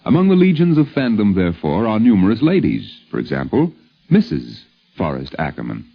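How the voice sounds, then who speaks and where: A man reading a scripted radio documentary in a measured, wry, dramatic manner. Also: fairly low pitch, huge pitch swings, gravelly, husky. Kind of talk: scripted narration, 1950s radio. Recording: muffled 1950s radio broadcast with music.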